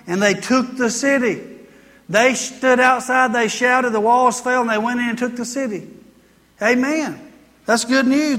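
A woman's voice: on the high side, a bit bright. An American woman preaching a sermon to a congregation in an emphatic, repetitive, exhorting manner. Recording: reverberant hall sound.

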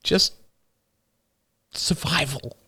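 A man voicing a grieving young teenage boy who talks haltingly, his voice climbing high and strained. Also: bright, forceful tone, exaggerated voice. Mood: anguished.

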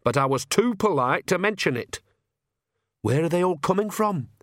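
A man's voice: high-pitched, animated, moderate pace, dry recording, studio quality, pitched above the performer's own voice. A man voicing a bossy, cheerful old woman, a witch with a piercing voice and blunt, rustic, teasing talk in a storybook. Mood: wry, teasing.

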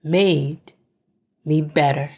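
A woman delivering a scripted spoken-word monologue in a deliberate, measured way, with pauses between phrases. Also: low-pitched, steady tone, warm tone, slightly rough. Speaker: podcast host.

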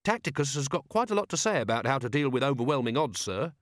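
A man's voice: mid-range voice, very animated, fast talker, no room sound, clean studio sound, pitched above the performer's own voice, natural voice. A man voicing an earnest young soldier who explains things in an eager, deferential, polite way.

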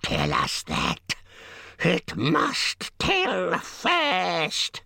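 A man voicing a wretched, spiteful cave creature for a storybook, with a strangled, hissing voice and drawn-out, repetitive muttering. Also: high voice, wildly swooping pitch, vocal fry, sharp tone, no room sound, clean studio sound, voice pushed up, full character voice. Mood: suspicious, hissing. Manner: drawn-out, repetitive, muttering to himself in odd grammar.

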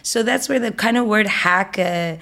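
A woman's voice: mid-range voice, moderate pace, gravelly, fairly bright tone. A woman in her forties from Egypt, a fitness educator who talks as an interview guest, explaining fluently with frequent um and uh. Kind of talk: interview conversation.

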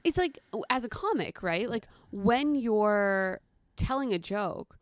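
A woman in her thirties from the United States, a storyteller guest who chats casually and then tells a personal story in the present tense, with lively quoted voices. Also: mid-range voice, takes it slowly, dry recording, studio quality.

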